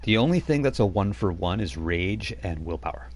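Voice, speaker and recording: A man's voice: low voice, expressive, moderate pace. A man running a tabletop role-playing game as game master, narrating in a rambling way with many fillers.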